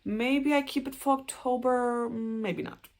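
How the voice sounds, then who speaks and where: A young woman with a German accent, chatting earnestly to camera about books she plans to read, with a few soft, self-conscious laughs. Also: on the high side, unhurried. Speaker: booktuber. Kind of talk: casual book talk to camera.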